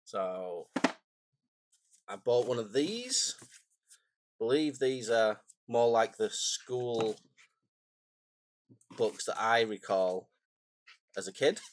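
A man, croaky and phlegmy from a cold, chatting casually and rambling through a stationery unboxing at his desk. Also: mid-range voice, animated, drawn-out words, pauses often, no room sound. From England. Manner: rambling, self-deprecating, chatty asides. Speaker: stationery vlogger. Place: home desk, indoors.

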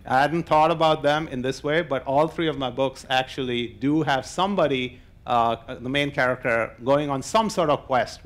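A man's voice: fairly high pitch, fairly bright tone. An older man giving an informal explanatory lecture, talking conversationally and laying things out step by step. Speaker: mathematician and novelist giving a public lecture. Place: indoor lecture hall, on stage.

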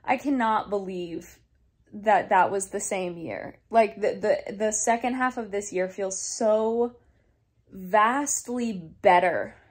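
A woman in her twenties talking to her phone camera at home in a casual daily vlog, earnest and rambling, with many restarts and fillers. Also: mid-range voice. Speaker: daily vlogger. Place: living room at home, indoors. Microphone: phone camera held close.

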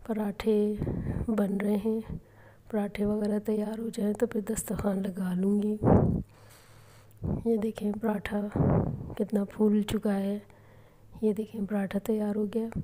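A middle-aged woman calmly narrating her home cooking and daily routine vlog. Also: mid-range voice, unhurried, long pauses, very noisy. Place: home kitchen and rooms.

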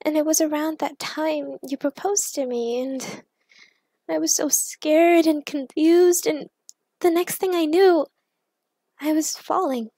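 A woman performs a young superheroine in an audio story. She speaks high and expressively, confiding with playful warmth and earnest worry. The sound is dry. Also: fairly even intonation, slow pace, dry recording. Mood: anxious, confessing.

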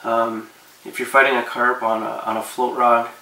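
Gravelly guy in his 30s, bright voice, talking loose with lots of ums, roomy echo, mic a bit far. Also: medium pitch, moderate pace. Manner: relaxed, rambling, many fillers. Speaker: fishing vlogger. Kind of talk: casual advice to camera. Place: living room, seated on couch.

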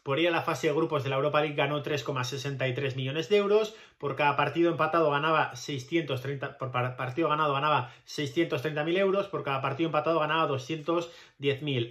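A man in his thirties with a slightly high voice and a Spain Spanish accent. He hosts a football channel and talks casually to camera, wandering into asides and giving his opinions freely. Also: a bit bright. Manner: conversational, digressive, opinionated. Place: small plain indoor room with pale walls.

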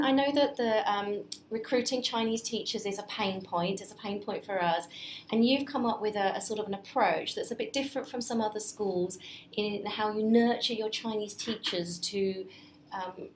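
A woman hosts a live-streamed chat, asking questions in a loose, conversational way and murmuring agreement often. Her voice sits at an ordinary pitch, and the room is echoey with a faint background hum. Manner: chatty, with constant murmured agreement. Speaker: host.

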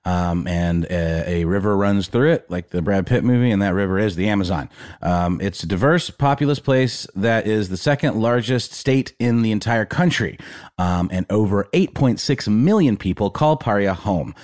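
A man's voice: fairly low pitch, moderate pace, darkish tone. A man co-hosting a podcast, talking casually with frequent fillers and playful asides. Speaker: podcast co-host. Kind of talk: casual conversational podcast talk.

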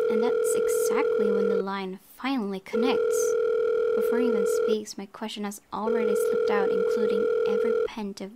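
A young woman reads visual novel narration aloud as a let's play host. Her voice is mid-pitched with noticeable vocal fry. She reads expressively, like telling a story. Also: dark tone.

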